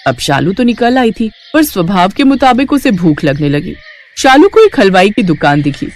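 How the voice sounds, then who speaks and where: A woman's voice: mid-range voice, very animated, normal speed. A woman narrating a scripted cartoon family story.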